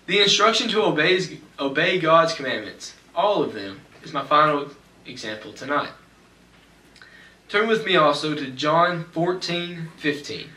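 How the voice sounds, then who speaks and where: A man's voice: a little high, lively intonation, bright, forceful tone, distant mic. Young man preaching in an echoey church. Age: young adult. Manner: measured, slows for scripture reading. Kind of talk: sermon. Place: church sanctuary at a pulpit. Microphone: pulpit mic, far camera.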